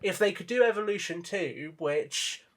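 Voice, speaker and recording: Man talking games, slightly high voice. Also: lively intonation, moderate pace, a bit bright. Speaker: podcast panelist and article writer. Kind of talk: casual podcast discussion.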